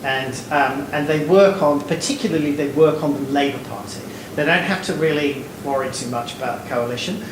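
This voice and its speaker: Guy on a panel stage rambling wry about policy history, a bit high, echoey hall w/ aircon hum. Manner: fast, digressive, wry, many fillers. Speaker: lecturer. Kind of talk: panel discussion answer. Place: stage before a live audience in a hall.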